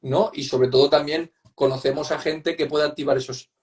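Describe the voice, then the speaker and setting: A man's voice: a little high, fairly even intonation, normal speed, a little mellow. A man with an accent from Spain, explaining things conversationally and emphatically as a guest psychotherapist, often checking for agreement. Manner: emphatic, repeats points, seeks agreement with tag questions. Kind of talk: conversational expert explanation in an online interview.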